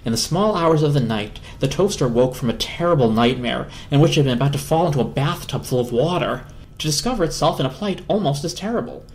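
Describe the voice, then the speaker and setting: A man's voice: on the high side, animated, fast talker, a little hiss or hum. A man narrating a children's story as a dramatic reading.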